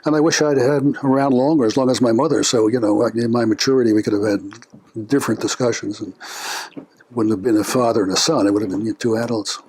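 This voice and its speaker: An older man being interviewed about his family, speaking in a reflective, unhurried way with frequent pauses and filler words. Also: medium pitch, fairly even intonation, darkish tone, slightly rough, low-quality recording. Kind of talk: family oral history interview.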